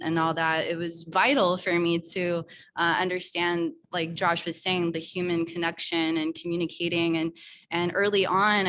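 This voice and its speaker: A young woman speaking earnestly on a panel, with many pauses and restarts. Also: fairly low pitch, a bit bright, slight background noise. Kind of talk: casual panel talk.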